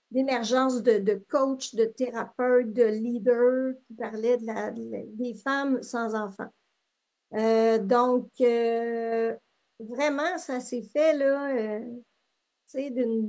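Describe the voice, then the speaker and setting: A woman with a Québécois French accent talks in a high voice. She sounds middle-aged and speaks casually in an interview. She fills her talk with hesitations and restarts. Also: a little mellow. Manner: chatty, many fillers and restarts. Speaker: interview guest.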